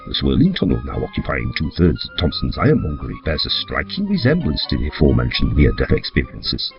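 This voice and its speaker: A man's voice: low voice, gravelly, dull audio, full character voice. A man playing a droll, mock-serious narrator of a scripted comic audio drama.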